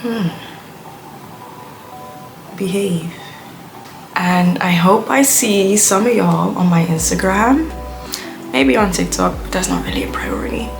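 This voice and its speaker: A woman's voice: medium pitch, very animated, slow pace, gravelly, long pauses. Rambling young woman vlogging. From Belgium. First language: Dutch. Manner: chatty, rambling, heavy use of "like". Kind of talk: casual rambling vlog rant. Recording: music playing under the voice.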